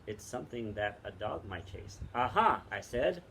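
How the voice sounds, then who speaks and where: A middle-aged man, a teacher, casually recommending and reading books aloud outdoors, pausing often mid-sentence. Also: medium pitch, sing-song, creaky, a bit bright. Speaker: teacher and read-aloud co-host. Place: outdoors in wind. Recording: wind noise on the microphone.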